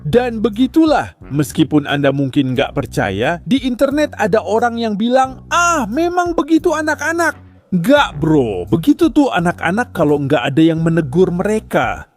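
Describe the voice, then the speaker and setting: A man's voice: a little high, big pitch swings, moderate pace, a little mellow, faint music behind. A man giving comic, sarcastic scripted narration with an exaggerated ranting delivery.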